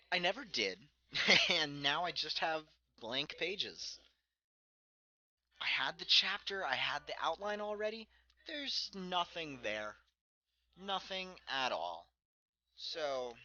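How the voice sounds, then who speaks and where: Young man, slow deliberate reading, sharp bright tone, self-mocking asides, faint music behind. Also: medium pitch, no room sound, highs cut off. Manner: self-deprecating, laughing at his old writing. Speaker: reader of his own childhood story. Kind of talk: solo read-aloud with commentary.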